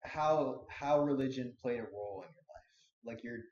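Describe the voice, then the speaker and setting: A man hosting a podcast, asking loose, relaxed questions in a casual interview. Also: medium pitch, moderate pace. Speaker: podcast host. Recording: echoey room, dull sound.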